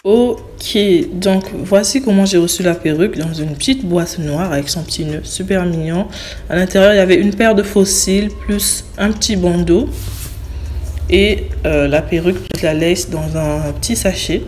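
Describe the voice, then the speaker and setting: A young woman with a cold, narrating a hair tutorial voice-over in a relaxed, step-by-step way. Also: on the low side, moderate pace, a little hiss or hum. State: has a cold. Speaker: beauty YouTuber.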